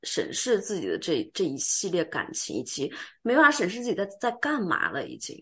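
A woman's voice: on the low side, wildly swooping pitch, brisk. A woman co-hosting a podcast, chatting casually and emphatically about her opinions and mixing in English words. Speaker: podcast co-host.

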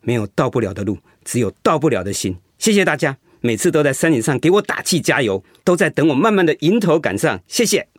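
A man playing an earnest, grateful recovering patient in a radio drama, speaking in a reflective, measured way. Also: fairly high pitch, big pitch swings, no echo, clean studio sound. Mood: determined.

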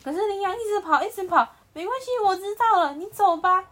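A woman's voice: very high voice, animated, fast, a bit bright, pitched above the performer's own voice. A woman voicing a lion in a children's story, pleading and bargaining in a sing-song, coaxing way. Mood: gentle. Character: pleading lion, sly then sorry.

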